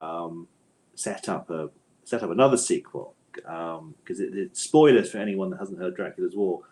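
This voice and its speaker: A man's voice: low voice, normal speed, darkish tone. A man speaking as an interviewed author in a conversational, discursive way, often interrupting himself and repeating words.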